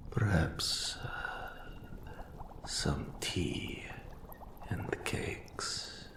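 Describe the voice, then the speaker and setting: A man voicing an old undead wraith mentor in a fantasy audio roleplay, eerie and courtly, with a gravelly, breathy half-whisper and slow, archaic, formal phrasing. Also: extremely low pitch, animated, background noise, exaggerated voice. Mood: dry, wry. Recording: roomy echo on the voice.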